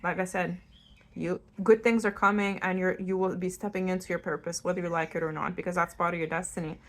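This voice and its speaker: A woman's voice: fairly low pitch, steady tone, fast talker, fairly bright tone. Casual, straight-talking woman. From Lithuania. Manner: blunt, conversational, addresses the listener directly. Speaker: tarot reader hosting her own channel. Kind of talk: unscripted tarot reading to camera, casual. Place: indoors at home in a new-build house.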